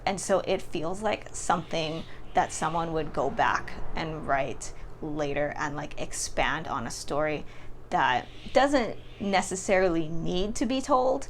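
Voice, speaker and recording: A young adult woman giving a casual, chatty book review as a vlogger talking to her audience. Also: fairly low pitch. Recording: clearly audible background noise.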